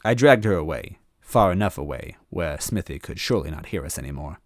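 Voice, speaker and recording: A man's voice: extremely low pitch, lively intonation, fairly quick, no echo, studio quality. A man reading a story aloud as a dramatic first-person narrator. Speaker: story reader.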